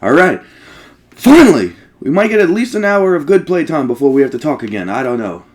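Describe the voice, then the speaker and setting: A man's voice: on the high side, sing-song, fairly quick. A man giving casual let's play commentary, informal and sweary, thinking aloud as he plays.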